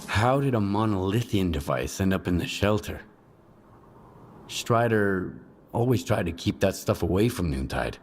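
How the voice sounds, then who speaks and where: A man's voice: low voice, lively intonation, a little slow, a little hiss or hum. A man voicing a terse male stalker in a video game, asking blunt questions.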